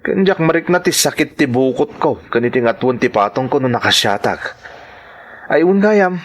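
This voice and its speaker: Actor as a stubborn Ilocano laborer husband. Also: male voice, mid-range voice, expressive, normal speed, lightly acted. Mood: stubborn, defensive. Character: stubborn working-class husband, a construction laborer fond of soft drinks. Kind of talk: Ilocano radio-style drama acting.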